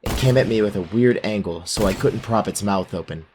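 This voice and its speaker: A man's voice: on the low side, brisk, noisy background, natural voice. A man playing a brave but self-doubting young fantasy warrior, narrating his own story in an audio drama. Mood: earnest narration.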